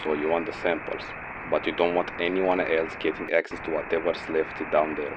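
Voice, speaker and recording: A man's voice: extremely low pitch, little pitch movement, moderate pace. A man playing a jaded, sardonic mercenary in a game audio drama, blunt and grumbling in his delivery. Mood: wary. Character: jaded, sardonic male mercenary codenamed Phantom. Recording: dull lo-fi audio, noisy vehicle-like background.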